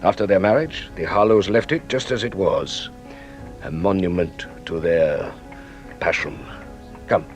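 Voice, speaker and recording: An actor playing a shrewd middle-aged French police detective in a radio drama, measured, theatrical and secretive. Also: male voice, low-pitched, soft background music. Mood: grand, confiding.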